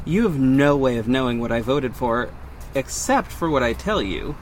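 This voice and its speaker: A man's voice: medium pitch, animated, normal speed, soft background music, lightly acted, low-quality recording. A man playing a wealthy orphaned young hero in an audio drama, guarded and earnest, with dry sarcasm and a defensive edge. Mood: sarcastic, defensive. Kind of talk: first-person audio drama narration and dialogue.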